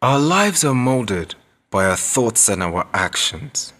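A man with a Nigerian accent narrating a radio drama in a grave, measured, dramatic manner. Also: mid-range voice, very animated, a bit bright, soft background music, heavily acted. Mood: solemn. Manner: measured, dramatic, deliberate delivery.

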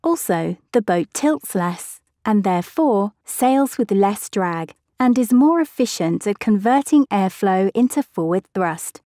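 A woman with a mellow, soft-edged voice reads a scripted science explainer in short, even clauses. The sound is dry and close, with no room around it. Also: medium pitch, measured pace, few pauses, dry recording. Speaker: narrator.

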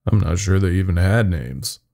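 A man tells a horror story in the first person, his voice very low and full of gravel. He sounds weary and folksy, and his delivery rises and falls dramatically. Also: lively intonation, moderate pace, mellow.

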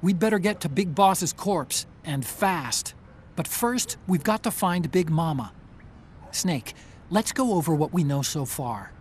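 An actor playing an earnest, brainy tech ally in a video game, giving clear, urgent mission briefings. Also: male voice, a little high, lively intonation, measured pace, slight background noise.

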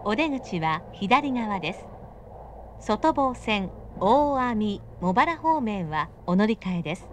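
A woman's recorded voice giving automatic train announcements in smooth, evenly paced, clipped phrases. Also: mid-range voice, sing-song, takes it slowly, a little hiss or hum. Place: inside a moving commuter train.

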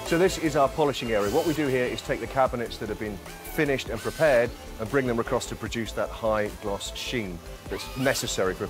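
A man in his forties leading a tour of a large factory floor, explaining each production step in a calm, clear way. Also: mid-range voice. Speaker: factory guide. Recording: music bed under voice.

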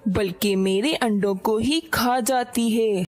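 A woman voicing a big, sly, hungry male snake in a children's cartoon, scheming and falsely friendly with drawn-out endings. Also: fairly high pitch.

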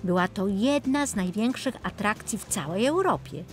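Lively woman storyteller with animated pitch. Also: moderate pace, faint music behind. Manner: expressive, playful storytelling. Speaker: storyteller narrator. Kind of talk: scripted children's story narration.

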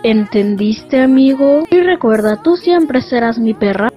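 A woman's voice: a little high, expressive, a little slow. Woman as domineering American villain, jealous, menacing, fan comic dub, dull lo-fi sound, faint music. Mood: angry, threatening. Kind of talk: fandub comic voice acting. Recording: dull lo-fi audio over music.

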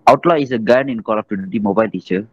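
Malaysian teen male student, lowish voice, answering in class through a muffled online call. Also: fast. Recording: dull online call audio.

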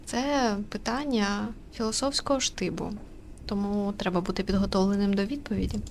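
A woman's voice: mid-range voice, fairly even intonation, normal speed. A woman giving casual, reflective commentary during a visual novel playthrough. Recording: clear background noise.

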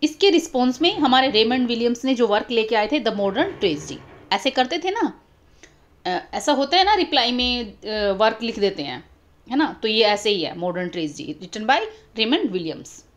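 A woman's voice: a little high, animated, moderate pace. Woman teacher explaining exam answers. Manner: explanatory, repeats key terms, mixes English titles into Hindi. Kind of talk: explaining exam questions over annotated screen.

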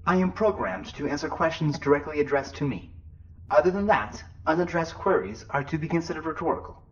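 A man playing a deadpan, literal-minded shipboard computer in a sci-fi audio drama, speaking formally and precisely. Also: on the high side, a little fast, natural voice. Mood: flat, formal. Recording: dull, band-limited sound.

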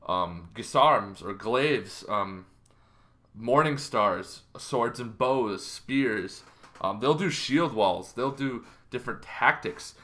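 A man in his thirties explaining things casually as a show host, in an informal, halting way. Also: medium pitch, sharp tone. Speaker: host of a tabletop roleplaying lore show. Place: bedroom, indoors.

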